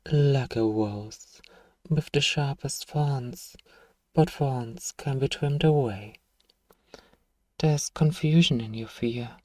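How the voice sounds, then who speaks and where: Guy doing a suave menacing old vampire for asmr roleplay, really slow n mellow, whispery taunting, bit far off the mic. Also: mid-range voice, dry recording, lightly acted. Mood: hypnotic, condescending. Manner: slow, hushed, taunting, condescending, lapses into whispers. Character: powerful elder male vampire, suave, menacing, manipulative seducer.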